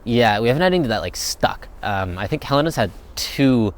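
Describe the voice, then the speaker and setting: A man's voice: medium pitch, animated, fairly quick. A young man answering viewer questions in a casual, rambling chat, thinking aloud as he goes. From United States. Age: young adult.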